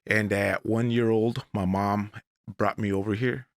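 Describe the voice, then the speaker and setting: A man in his forties, originally from Mexico, telling his life story as a guest on an interview podcast in a deliberate, measured way. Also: on the low side, fairly even intonation, dry recording, studio quality. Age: 40s. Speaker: guest, English professor.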